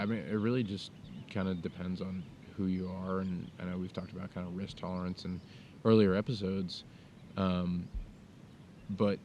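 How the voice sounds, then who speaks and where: A young man from the United States co-hosting a podcast, talking things through in a halting, thoughtful way with many fillers and restarts. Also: sits low, noisy background. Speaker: podcast co-host. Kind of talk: casual conversation. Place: outdoors in the mountains.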